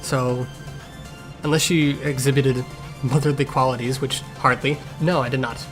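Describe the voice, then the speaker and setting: A man's voice: medium pitch, steady tone, normal speed, natural voice. Grumpy cat medic for a cartoon fandub, played by a man: dry, sarcastic, blunt, over prominent music. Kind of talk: improvised-sounding voice-acted fan Q&A. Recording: music playing behind the voice.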